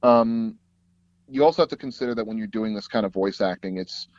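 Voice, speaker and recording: A man telling anecdotes casually as an interview guest, animated and acting out bits of dialogue as he goes. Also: lowish voice, a little fast, no echo, muffled recording. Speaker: guest, voice actor and audio drama producer.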